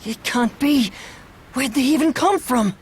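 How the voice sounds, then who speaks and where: A youthful lookout in a fantasy video game crew. Her voice is extremely high and urgent. Faint noise sits behind it. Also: measured pace. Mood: alarmed. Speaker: voice actor. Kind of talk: video game cutscene acting.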